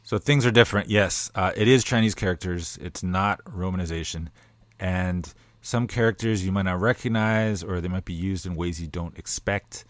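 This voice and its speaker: A man's voice: low voice, normal speed, dry recording. A man co-hosting a podcast, chatting in an upbeat, joking way. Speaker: podcast co-host. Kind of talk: casual podcast chat.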